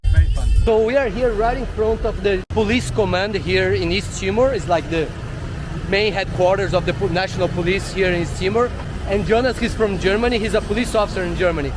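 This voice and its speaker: A man's voice: high voice, moderate pace, music in the background, low-quality recording. A man from Brazil with a Brazilian accent, talking casually to locals and to the camera while walking through a city. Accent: Brazilian Portuguese. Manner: chatty, asks locals simple questions. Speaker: travel vlogger. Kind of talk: casual travel vlog narration. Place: outdoors on city streets. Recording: outdoor street noise. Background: street and traffic noise.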